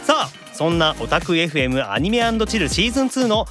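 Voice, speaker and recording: A bright, high male voice hosting a radio show with faint music in the mix. He talks quickly, with polite announcements and playful banter. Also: very animated. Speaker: radio show host, voice actor. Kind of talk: lively scripted intros and playful banter.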